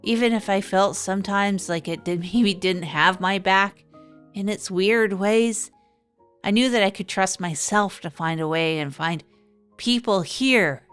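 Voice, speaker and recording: A woman's voice: medium pitch, measured pace, fairly bright tone, clean tone, close to an everyday voice. Woman as reflective small-town radio host over music. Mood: reflective, earnest. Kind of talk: improvised monologue in comedy audio drama.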